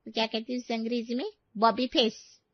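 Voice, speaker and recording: A woman's voice: a little high, steady tone, fairly quick, no echo, lightly acted. Woman acts young nanny for a cartoon story; breezy, cheeky, slangy, dry and a touch muffled. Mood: cheeky, pleased. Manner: slangy, garbles English words.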